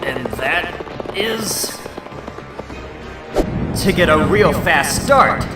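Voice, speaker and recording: Determined wisecracking superhero , male reader, melodramatic thinking aloud, lively, echoey room, comic-book drama. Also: a little high, drawn-out words. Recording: roomy, distant mic, loud music underneath.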